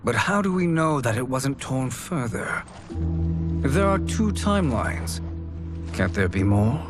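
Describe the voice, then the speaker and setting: A slow, cunning sorcerer. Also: male voice, medium pitch, big pitch swings, over loud music. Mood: deliberate. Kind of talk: video game cutscene acting.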